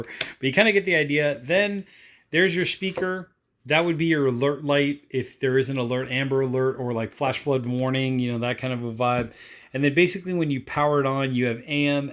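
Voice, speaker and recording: A man with a mid-pitched voice talks through a product demo as if chatting off the cuff. He rambles in an offhand, casual way, dropping in little tag questions and stock phrases as he goes. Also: a bit bright. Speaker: product reviewer. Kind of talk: casual unscripted product demonstration.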